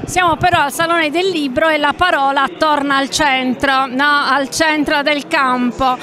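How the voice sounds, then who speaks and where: Bright, emphatic woman interviewer. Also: high-pitched, fairly even intonation, measured pace. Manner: emphatic, repeating key words. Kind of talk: interview questions. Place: book fair stand, indoors. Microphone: handheld mic.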